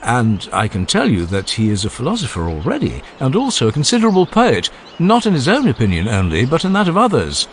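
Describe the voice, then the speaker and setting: Cultured Athenian gentleman played by a male narrator, assured and proud, lively intonation, faint noise behind, classical audiobook. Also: mid-range voice, fairly quick, few pauses, pitched above the performer's own voice.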